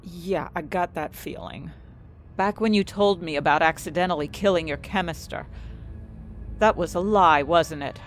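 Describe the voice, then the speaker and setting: A woman's voice: lowish voice, normal speed, slight background noise, close to an everyday voice. A woman acting an anxious, desperate young heroine who tells her own fantasy story in intense, dramatic first-person narration. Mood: shaken. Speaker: narrator and main character of an audio fiction story.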